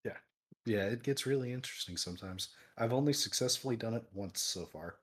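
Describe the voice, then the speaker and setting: A man's voice: mid-range voice, a little fast, husky. A male streamer guesting on a podcast in easygoing chat, poking fun at himself, with a voice people say is made for radio. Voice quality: voice people call fit for radio. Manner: easygoing, self-deprecating.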